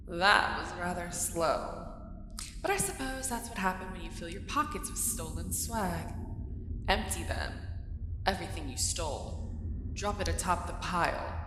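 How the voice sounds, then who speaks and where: A woman voicing an ancient, haughty, bored female dragon who taunts with theatrical menace and drawn-out words in an ASMR roleplay, with cavernous reverb. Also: fairly low pitch, fairly bright tone. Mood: haughty, commanding. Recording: cavernous reverb, noisy cave ambience.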